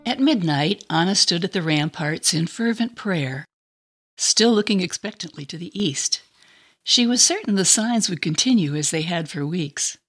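A woman's voice: lowish voice, expressive, moderate pace, husky. A woman reading a scripted story as dramatic narration. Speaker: guest story reader.

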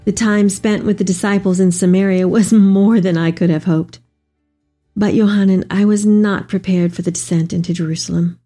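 A woman's voice: medium pitch, fairly even intonation, moderate pace, warm tone, close to an everyday voice. A woman playing an elderly, devout mother in a dramatic reading of letters to her son, with tender, heartfelt delivery. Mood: tender, weary. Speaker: dramatic reader. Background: music.

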